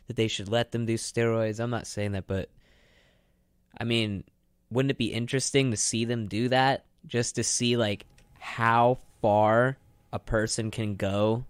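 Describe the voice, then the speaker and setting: A man's voice: mid-range voice, unhurried, clean tone, no room sound, clean studio sound. A man co-hosting a casual radio show, giving opinions in a rambling way and repeating phrases as he makes his point. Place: radio studio.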